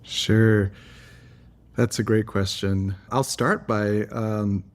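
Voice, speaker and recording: A man's voice: fairly low pitch, level tone, very slow. A man from Singapore giving earnest, articulate, reflective answers as an interview guest. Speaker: interview guest, environmental advocate.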